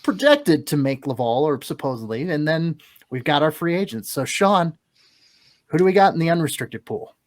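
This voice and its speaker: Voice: medium pitch, big pitch swings, moderate pace. A co-host running a segment of a hockey podcast, organized and jokey. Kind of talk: casual hockey podcast chat.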